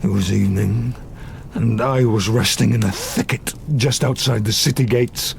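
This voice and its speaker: A man's voice: lowish voice, steady tone, gravelly, a little hiss or hum. An actor playing a wretched, vengeful man-made monster in a gothic audio drama, grave and anguished in his telling. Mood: haunted recollection. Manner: slow, grave, anguished storytelling.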